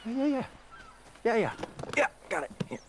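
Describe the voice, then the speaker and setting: An actor playing an over-friendly, nervous drug buyer in a video game, hesitant and stilted. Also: male voice, extremely high pitch, very animated, moderate pace, very noisy. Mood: eager, friendly. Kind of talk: video game cutscene acting.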